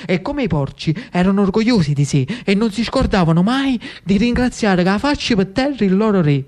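Narrator: Neapolitan male actor for dramatic monologue, emphatic phrasing, stretched words and rhetorical pauses. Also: high voice, expressive, a little mellow. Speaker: actor and storyteller.

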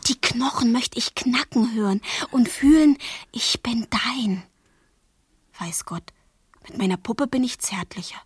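An actress playing a passionate, wilful thirteen-year-old girl in love, in a literary radio play, with a breathy, husky, intense delivery. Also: a little high, a little slow, dry recording, studio quality. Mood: yearning, reproachful. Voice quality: breathy, husky, often near a whisper. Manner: intense, demanding, dramatic delivery.

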